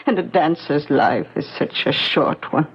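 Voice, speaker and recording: An actress in a 1970s radio drama playing a remorseful wounded wife, speaking haltingly and wistfully in a husky voice. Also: female voice, deep voice, animated, exaggerated voice. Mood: wistful, regretful. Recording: dull, lo-fi 1970s radio recording.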